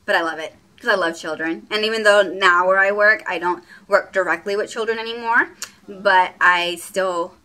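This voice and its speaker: A woman in her late twenties, born in Puerto Rico and raised in Texas, chats to the camera at home. Her voice is bright and crisp, sitting at a middle pitch. She rambles freely, stopping often on "um" and "what else.". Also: steady tone, moderate pace, poor audio. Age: late 20s. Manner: chatty and rambling, with frequent fillers. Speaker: beauty vlogger. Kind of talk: casual get-to-know-me vlog.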